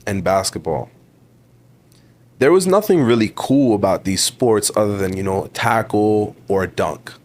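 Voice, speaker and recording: A young man in his twenties with a Toronto accent talks on a podcast in a somewhat low voice. He sounds emphatic and motivational, leaning on repeated words to drive each point home. He is casual with the host and keeps calling him bro. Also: lively intonation, normal speed, a little mellow. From Ontario, Canada. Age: 20s. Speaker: podcast guest. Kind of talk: casual interview talk.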